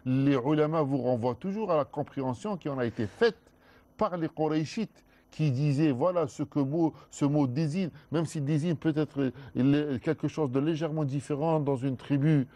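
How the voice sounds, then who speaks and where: Mellow-voiced male scholar explaining. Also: fairly high pitch, dry recording. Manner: measured, with frequent restarts. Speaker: guest scholar. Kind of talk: explanatory studio discussion. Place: TV studio.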